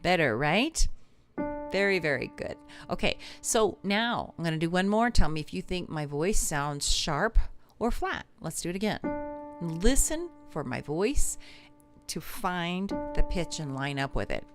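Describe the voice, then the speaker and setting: A woman's voice: on the low side, big pitch swings, measured pace, music in the background. A woman teaching a live singing lesson, chatting with viewers in an encouraging way and laughing easily.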